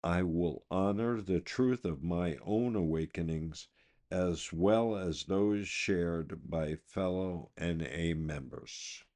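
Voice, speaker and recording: A man reading a scripted daily reflection for a podcast in a measured, deliberate way. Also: low-pitched, a little mellow. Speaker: podcast reader.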